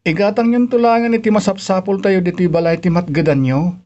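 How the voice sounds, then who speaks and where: A woman's voice: mid-range voice, fairly even intonation, fairly quick, dark tone, muffled recording, natural voice. A woman playing a dutiful, caring child pleading with the parents in an Ilocano audio drama, with an Ilocano accent. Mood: earnest, caring. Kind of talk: Ilocano radio-style drama acting.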